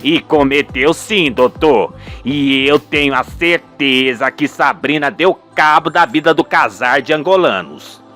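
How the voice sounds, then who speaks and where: A man's voice: fairly high pitch, a little slow, few pauses, sharp tone, soft background music, voice pushed down. A man voicing an indignant old cheesemaker, folksy and emphatic, in a radio soap opera. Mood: accusing, indignant.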